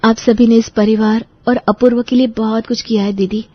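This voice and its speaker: A woman's voice: on the high side, little pitch movement, moderate pace, close to an everyday voice. A woman playing a polite, earnest young bride-to-be in a family audio drama, with slightly dull sound. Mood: heartfelt. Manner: respectful, earnest. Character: polite, earnest young bride-to-be meeting her sisters-in-law.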